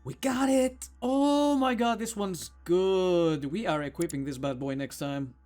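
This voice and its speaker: A man's voice: sits high, measured pace, a little mellow, soft background music. A man giving casual, theatrical and exclamatory commentary while playing a video game.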